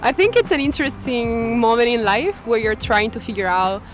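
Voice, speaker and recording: Woman from italy, late twenties, slightly high voice, hesitant and reflective, light music behind. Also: normal speed. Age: 20s. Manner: thoughtful, hesitant, many "like" fillers. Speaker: interviewee. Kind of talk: casual street interview.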